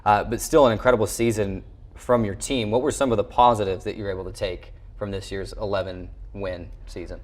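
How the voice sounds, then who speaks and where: Male sports broadcaster and interviewer, American, slightly low register, college recap show. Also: fairly even intonation, brisk, darkish tone.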